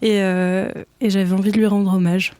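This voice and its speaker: A woman's voice: mid-range voice, flat delivery, normal speed, warm tone, no room sound. A woman musician chatting hesitantly in a radio studio interview, with many fillers and restarts. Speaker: musician guest. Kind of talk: casual interview chat. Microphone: studio microphone.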